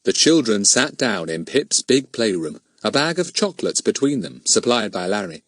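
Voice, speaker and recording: A man's voice: lowish voice, big pitch swings, moderate pace, a bit bright, no room sound, studio quality. A man reading a children's story as an audiobook narrator, in scripted narration.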